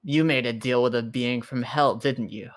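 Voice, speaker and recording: Mid-pitched man musing in roleplay. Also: fairly even intonation, moderate pace. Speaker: player in a tabletop roleplay. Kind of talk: casual in-character roleplay chat.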